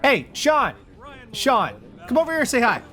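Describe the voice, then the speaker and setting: A man's voice: very high voice, wildly swooping pitch, normal speed, pushed and bright, full character voice. A man playing a sociable, slightly fussy guy with a casual, emphatic way of talking in a comedy web drama. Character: sociable, fussy man bothered by small things.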